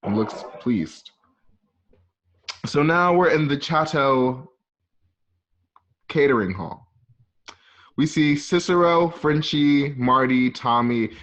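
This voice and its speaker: A man informally narrating stage directions for a script in a halting, casual way. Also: medium pitch, a bit bright. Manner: halting, casual, present-tense scene description. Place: at home. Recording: dull, lo-fi home recording.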